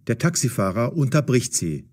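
A man narrating an audio story for language learners with measured, clearly enunciated reading. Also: mid-range voice, very animated, a little mellow.

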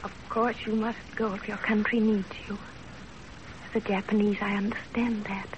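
An actress in 1930s radio drama style playing a gentle, dutiful young Japanese wife with earnest, formal phrasing. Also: female voice, mid-range voice, flat delivery, moderate pace, lightly acted. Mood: brave, restrained. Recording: dull, noisy 1930s radio recording.

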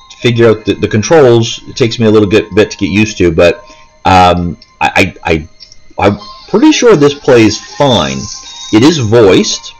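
A man's voice: on the low side, low-quality recording. A man giving a casual, unscripted gameplay walkthrough in a hesitant, rambling way, often stopping mid-thought. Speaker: gaming video host. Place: indoors, seated, handheld console in hands.

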